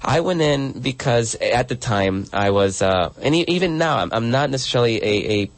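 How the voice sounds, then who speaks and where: A man's voice: mid-range voice, animated, moderate pace, dry recording. A man who grew up in El Salvador, answering interview questions earnestly as a guest author, often restarting and repeating words.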